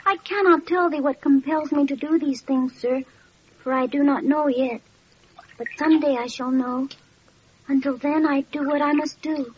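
Earnest young boy, high-voiced. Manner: solemn, simple, dutiful delivery. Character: earnest, gentle young boy of humble home. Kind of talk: classic radio drama acting, archaic diction. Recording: dull, noisy old radio recording.

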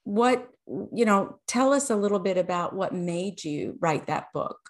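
A woman's voice: medium pitch, expressive, unhurried. A woman hosting a podcast, encouraging and effusive as she asks her guest questions. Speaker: podcast host. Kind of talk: casual interview.

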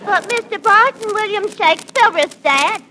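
A woman's voice: very high voice, animated, moderate pace, a loud crowd. An actress playing a perky woman at a film studio in a 1940s radio comedy. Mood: protesting. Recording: noisy old radio recording, studio audience.

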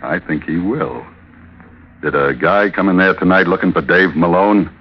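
A man's voice: sits low, moderate pace, husky. A man playing a sly, smug henchman with slangy, insinuating talk in an old radio crime drama. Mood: sly, confident. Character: Red Gallagher, sly smug hardboiled male henchman. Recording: muffled old radio recording.

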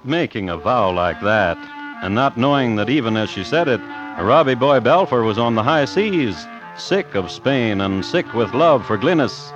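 A man's voice: medium pitch, animated, normal speed, muffled recording, close to an everyday voice. A man narrating a scripted 1950s radio crime drama in a florid, theatrical storytelling manner. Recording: vintage radio broadcast with music behind. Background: music.

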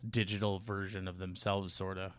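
A man chatting casually as a podcast co-host, halting and rambling as he corrects himself along the way. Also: low-pitched, flat delivery, a bit bright, no echo. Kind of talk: casual chat.

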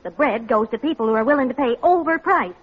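High-voiced, principled radio housewife. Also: female voice, expressive, quick pace, breathy edge. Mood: indignant. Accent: American. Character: sensible, principled American housewife, gently firm with husband. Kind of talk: 1940s radio comedy acting. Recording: muffled, noisy old radio recording.

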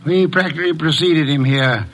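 An actor playing a bulky, pompous genius detective who grumbles in formal, wordy speech, in old American radio drama style. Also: male voice, fairly high pitch, normal speed, a bit bright, low-quality recording. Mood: pompous, dry. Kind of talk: 1940s-50s American radio drama acting.

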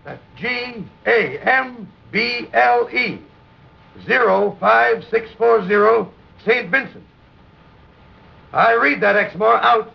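An actor playing a proud, earnest, warm-hearted merchant captain in an old-time radio drama, with a gravelly, husky voice. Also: male voice, extremely high pitch, slow, full character voice. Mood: urgent, determined. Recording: dull vintage radio recording.

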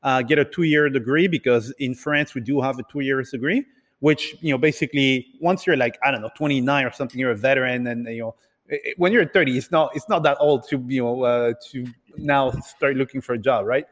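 Man talking in a reverberant space. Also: medium pitch. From Italy and several other countries. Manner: hesitant, halting delivery with repeated words. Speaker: podcast guest, entrepreneur. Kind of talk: casual interview storytelling. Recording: reverberant, hall-like room sound.

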